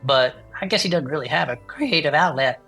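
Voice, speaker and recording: A man playing a folksy, good-natured small-town radio co-host with a Southern US accent and a husky, drawling, enthusiastic delivery. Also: on the high side, expressive, fairly quick, pushed and bright, soft background music, full character voice. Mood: folksy, wholesome. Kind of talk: improvised in-character audio drama chat show.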